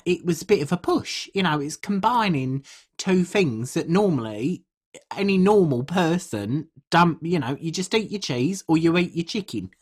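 A British woman playing an uncouth, food-obsessed podcast co-host, husky and rambling in colloquial, deadpan comic chat. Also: fairly low pitch, moderate pace. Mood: earnest, matter-of-fact. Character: uncouth, food-obsessed woman telling absurd tales earnestly.

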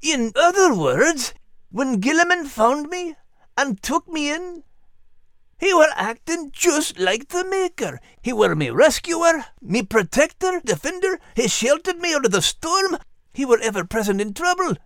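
An actor voicing a warm-hearted, plain-spoken male Scottish dog in a children's audio drama, with earnest folksy dialect. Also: high voice, big pitch swings, measured pace, dry recording, studio quality, exaggerated voice. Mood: earnest, grateful.

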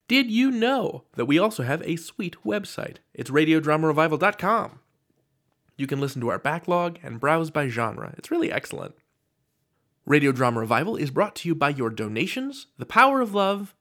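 A man's voice: on the high side, fairly bright tone. A man hosting a podcast from a script, with a playful, theatrical delivery. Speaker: podcast host.

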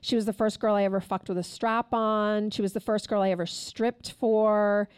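A woman's voice: mid-range voice, steady tone, clean tone, dry recording, studio quality. A woman in her fifties, a guest author, reads frankly from her memoir at a live taping and chats casually. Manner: frank and candid, reads with measured pauses. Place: live taping at a store event.

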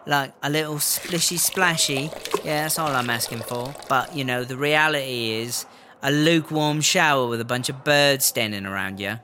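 A man's voice: medium pitch, normal speed, pushed and bright, a little hiss or hum, natural voice. A man playing a jealous, exasperated inmate in a comedic audio drama, gossipy and sardonic. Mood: wistful. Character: jealous, exasperated prison inmate pining for comforts.

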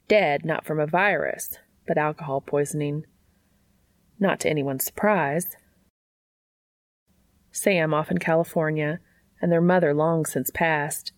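A woman narrates a story in scripted podcast style. Her voice sits on the low side with noticeable creak at the edges. The sound is close and dry. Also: dry recording. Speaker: podcast host and reader. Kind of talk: scripted narration of fan fiction.

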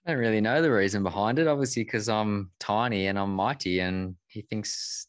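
A man co-hosting a motorsport podcast, bantering and teasing in a relaxed way. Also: lowish voice, a little fast, no room sound, studio quality. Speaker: podcast co-host. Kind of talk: casual motorsport podcast chat.

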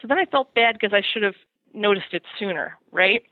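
A middle-aged woman chatting casually and telling personal anecdotes in an animated, self-deprecating way. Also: medium pitch, a little fast, no room sound. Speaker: podcast segment guest on horse parenting. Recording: thin phone-like line.

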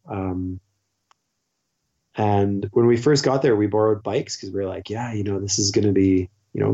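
Man, from Canada, 40s, rambling casual stories, mellow tone, dull audio. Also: mid-range voice. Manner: rambling, self-interrupting, with frequent fillers and restarts. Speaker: podcast guest; teacher. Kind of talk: casual conversational storytelling.

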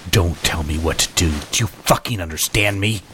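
A man's voice: low voice, normal speed, fairly bright tone, a little hiss or hum. A man acting a menacing, controlling husband in an audio drama, giving curt, cold commands. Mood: angry.

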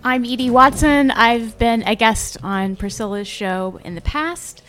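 A woman's voice: a little high, measured pace, a bit bright. A woman radio guest introducing herself casually.

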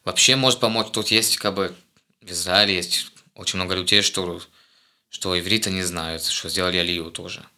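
Low bright-voiced young man, halting. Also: fairly even intonation. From Israel. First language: Hebrew. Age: young adult. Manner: halting, searching for words, many restarts. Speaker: guest, host's eldest son. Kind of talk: casual conversation.

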